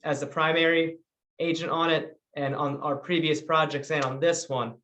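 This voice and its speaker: A man's voice: fairly high pitch, fairly even intonation, normal speed, a bit bright, lo-fi sound. A man hosting a business investor webinar, presenting in an organized way with occasional ums. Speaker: webinar host and real estate broker. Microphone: video call.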